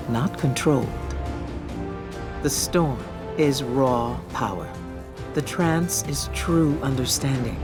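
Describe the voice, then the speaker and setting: An actress playing a wise, commanding warlock mentor in a video game, solemn, measured and authoritative. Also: female voice, extremely low pitch, exaggerated voice. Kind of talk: cinematic game voice acting. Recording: voice over cinematic music.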